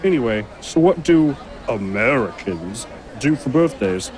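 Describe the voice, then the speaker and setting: An actor playing a friendly, chatty orange seller with a warm, teasing manner in a fantasy audio drama, a street crowd faintly behind. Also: mid-range voice, lively intonation, a little slow, natural voice. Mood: friendly, curious.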